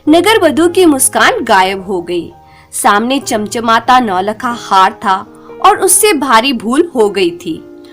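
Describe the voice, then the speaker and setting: A woman narrating a scripted folk tale as a storyteller, in an expressive, measured way. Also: a little high, soft background music.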